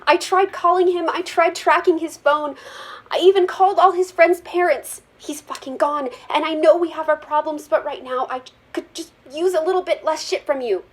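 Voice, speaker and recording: A woman's voice: sits high, normal speed, lightly acted. A woman playing an anxious, desperate mother in an audio drama, speaking in a strained, pleading way. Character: anxious, desperate mother, estranged sister of detective. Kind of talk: scripted audio drama dialogue.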